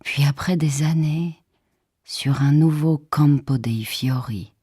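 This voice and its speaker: A woman recites literary verse with solemn expression. Her delivery is very slow and measured, with deliberate pauses. The voice is husky and hushed, captured close in a dry radio studio. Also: low voice, no room sound. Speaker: poetry reader. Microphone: close studio microphone.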